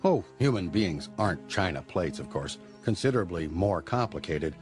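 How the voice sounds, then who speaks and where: Paternal doctor, very low voice, lively intonation, reassuring, measured, radio drama. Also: male voice, soft background music. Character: fatherly, reassuring male sanitarium doctor.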